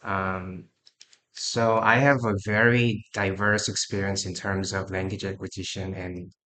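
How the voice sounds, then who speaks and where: Man in his 40s from korea, low-ish voice, pretty bright and crisp, careful interview answers. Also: level tone, unhurried. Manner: careful, earnest self-introduction. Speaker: job candidate. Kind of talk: job interview over a call.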